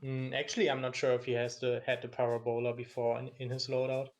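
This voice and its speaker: A man with a German accent calls a match as a live commentator, reacting to the action. His voice sits at a middling pitch, with game music playing clearly behind him. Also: level tone, a little mellow. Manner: quick, engaged play-by-play, reacting to the action. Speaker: esports commentator.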